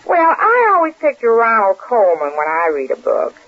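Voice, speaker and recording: An American actress playing a scatterbrained, chatty, stubborn wife in a vintage radio comedy, naive and rambling. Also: female voice, fairly high pitch, huge pitch swings, normal speed. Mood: flighty, earnest. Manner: flighty, naive, earnest, rambling. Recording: muffled old radio broadcast recording.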